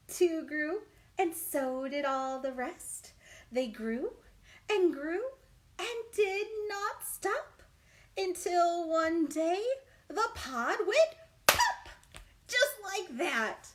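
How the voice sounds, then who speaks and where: High, very animated woman reading to children. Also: a little hiss or hum. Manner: exaggerated, encouraging, asks young listeners questions with pauses. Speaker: children's librarian. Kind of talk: animated children's storytime read-aloud and chat.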